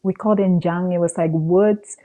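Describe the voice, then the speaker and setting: A woman from Cameroon speaks as an interview guest in a low, very mellow voice. She tells a personal story conversationally, retelling exchanges in quoted dialogue. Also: fairly even intonation, moderate pace. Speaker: podcast guest.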